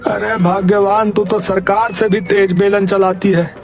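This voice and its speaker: Man as a high-voiced, plaintive poor farmer. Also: level tone, unhurried. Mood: wry. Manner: plaintive, folksy, pleading. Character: poor, dramatic, wisecracking indebted village farmer. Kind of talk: Hindi moral story cartoon acting. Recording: music behind the voice.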